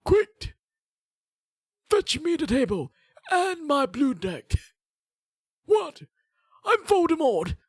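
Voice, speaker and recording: A man putting on the voice of an old, out-of-breath male dark wizard, hammy and grandly menacing, with a breathy rasp. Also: very high voice, big pitch swings, slow, long pauses, no echo, clean studio sound, pitched above the performer's own voice, exaggerated voice.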